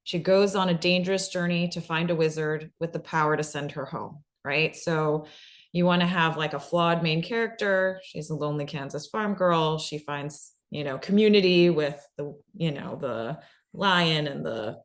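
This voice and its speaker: A woman's voice: low voice, normal speed. A woman presenting in an informal workshop style, conversational and often checking in with the listener as she explains.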